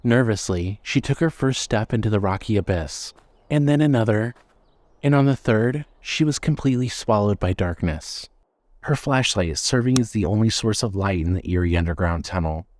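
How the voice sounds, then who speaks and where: Low-voiced male story narrator, dry sound. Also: lively intonation, no echo. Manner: measured, suspenseful storytelling.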